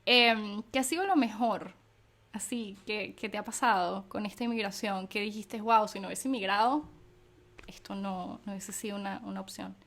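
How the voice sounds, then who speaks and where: A woman's voice: mid-range voice, fairly even intonation, moderate pace, a bit bright. A young woman hosting an interview, chatting casually and affirming her guest as she listens. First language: Spanish. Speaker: podcast host.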